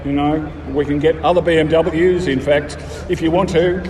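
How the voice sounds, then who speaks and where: Echoey Australian man, halting but keen. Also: a little high, fairly even intonation. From rural Australia. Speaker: car club member being interviewed. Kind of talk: casual interview. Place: outdoors at a motor show. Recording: echoey outdoor PA, distant, crowd chatter. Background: crowd.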